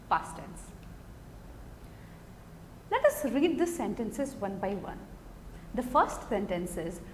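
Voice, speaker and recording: An Indian-accented woman teaches English grammar, walking through example sentences one by one. Her fairly high voice rises and falls a great deal. The recording sits in a roomy, echoing space with a long tail of reverb. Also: slight background noise. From Bhubaneswar, Odisha, India. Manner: explains step by step, reading example sentences aloud. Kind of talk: online grammar lesson.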